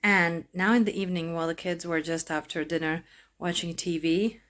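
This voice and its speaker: A woman's voice: on the low side, fairly even intonation, moderate pace, fairly bright tone. Chatty woman vlogger. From Latvia. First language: Latvian. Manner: rambling, chatty, self-interrupting. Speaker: crochet vlogger. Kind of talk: casual chat to camera.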